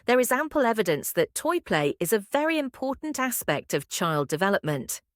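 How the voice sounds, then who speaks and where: A woman reading practice passages aloud as a test-prep narrator, in a measured, clearly enunciated scripted style. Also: on the high side, big pitch swings, no room sound, clean studio sound.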